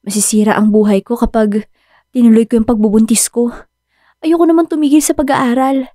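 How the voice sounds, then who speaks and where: A woman's voice: fairly high pitch, fairly even intonation, brisk, warm tone, no echo, clean studio sound, natural voice. A woman playing a nervous, frightened eighteen-year-old girl in a radio drama, speaking hesitantly and timidly. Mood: anxious, resigned.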